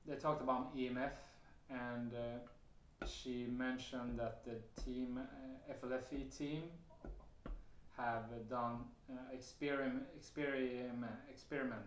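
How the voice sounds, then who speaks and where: A man with a Swedish accent speaks slowly and haltingly, stopping often on fillers and restarting his sentences. His voice sits at an ordinary middle pitch, and the room around him adds a noticeable echo. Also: flat delivery. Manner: hesitant, many fillers and restarts, occasional soft laughs. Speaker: vlogger sharing personal experience. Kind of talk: casual personal testimony to camera. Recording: roomy echo.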